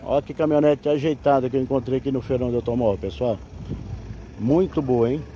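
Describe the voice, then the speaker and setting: A man's voice: medium pitch, fast, mellow. A Brazilian man giving a casual, repetitive sales patter as a used car broker filming a walkaround for viewers. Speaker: used car broker presenting a vehicle for sale. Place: outdoors at a roadside car fair. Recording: outdoor street noise, faint traffic.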